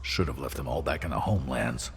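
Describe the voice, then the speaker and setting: An actor playing a fussy, officious and disapproving bureaucrat in a video game. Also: male voice, very deep voice, fast talker, vocal fry, big-room sound, slight background noise. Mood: disapproving, agitated.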